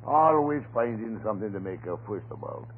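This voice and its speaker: A folksy, blustering desk sergeant from a vintage radio play, talkative and stubborn. His delivery swings widely in pitch. The old recording is narrow and tinny, with some hiss. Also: very animated, a little fast. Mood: chatty, folksy. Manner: blustering, garrulous, folksy turns of phrase. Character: folksy, chatty, stubborn off-duty police desk sergeant neighbor. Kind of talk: old-time radio drama acting.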